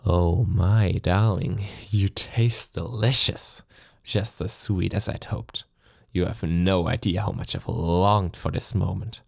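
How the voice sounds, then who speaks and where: A man's voice: fairly even intonation, slow pace, a little mellow, dry recording, clean studio sound, full character voice. A man playing a centuries-old vampire lord, sadistic, possessive and silkily menacing, in a theatrical intimate ASMR roleplay. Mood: hushed, hungry. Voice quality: low, creaky. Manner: theatrical, taunting, courtly endearments, commanding. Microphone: close studio mic, dry.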